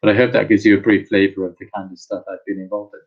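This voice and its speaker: A man on a health panel who speaks in a measured, organized way. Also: fairly low pitch, flat delivery, breathy edge, phone-quality sound. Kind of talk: panel talk.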